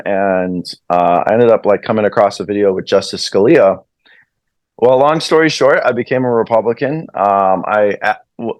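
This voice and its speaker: A young American man talks in a lowish, casual voice full of "uh" and "um". He often restarts his sentences as he introduces himself. The sound is close and dry. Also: a little mellow, no room sound. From United States. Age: young adult. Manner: casual, filler-heavy, hesitant. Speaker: guest, club president. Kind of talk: interview self-introduction.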